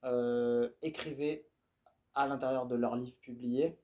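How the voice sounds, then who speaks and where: A man in a casual discussion, speaking in a relaxed way and thinking aloud with fillers. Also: medium pitch, slow pace. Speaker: poet.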